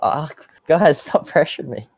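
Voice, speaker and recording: A man's voice: a little high, normal speed, creaky. A man co-hosting a podcast in casual, exclamatory banter with friends. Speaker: podcast co-host.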